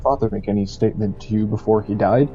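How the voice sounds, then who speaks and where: A man's voice: on the low side, fairly even intonation, fast talker, over music, close to an everyday voice. A man playing a stern coroner in an amateur audio drama, questioning formally and insistently on a dull recording. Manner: formal, pressing. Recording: dull, muffled lo-fi sound.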